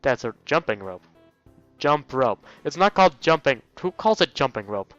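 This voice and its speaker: Smooth-voiced man reading a game aloud, muffled. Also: mid-range voice, big pitch swings, normal speed, fairly bright tone, no echo, soft background music. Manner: jokey, breaks off into exclamations. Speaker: let's play host. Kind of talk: reading a visual novel aloud with joking asides.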